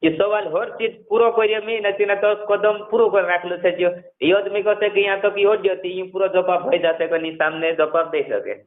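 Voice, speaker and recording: A man's voice: high-pitched, steady tone, fairly quick. A man in a casual phone conversation, explaining things at length and repeating himself, on a narrowband call recording.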